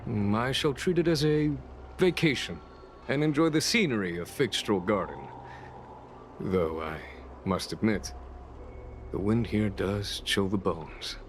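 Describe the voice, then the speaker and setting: An actor playing a solemn, courtly, world-weary man in a video game, speaking formally and deliberately. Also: on the low side, lively intonation, noisy background. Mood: composed, wry. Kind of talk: video game voice acting, measured storytelling.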